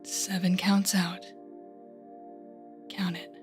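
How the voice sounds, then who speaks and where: A woman playing a telepathic supervillain in an audio roleplay, a bitter but protective mentor with a sardonic, deliberate manner. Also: on the low side, flat delivery, soft background music. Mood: tender, hushed. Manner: sardonic, deliberate, shifting to soothing whispers. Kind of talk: dramatic audio roleplay acting.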